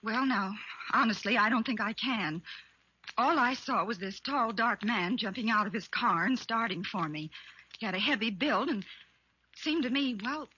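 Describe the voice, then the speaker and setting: Bright-voiced, earnest woman witness. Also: fairly high pitch, normal speed. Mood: uneasy, hesitant. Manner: hesitant, earnest, careful. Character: hesitant, earnest female crime witness. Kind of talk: 1940s-50s radio drama acting. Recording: dull old radio recording, faint hiss.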